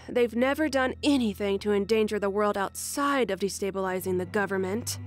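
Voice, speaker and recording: A woman voicing a composed, regal squirrel queen in a comic dub, measured and diplomatic. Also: medium pitch, faint music behind, close to an everyday voice. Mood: serious, explaining.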